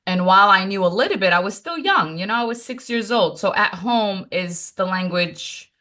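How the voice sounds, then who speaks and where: A woman from Morocco whose first language is Moroccan Arabic, telling a family story as a podcast co-host in an animated, emphatic way with frequent laughs. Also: mid-range voice, normal speed. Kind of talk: casual storytelling chat.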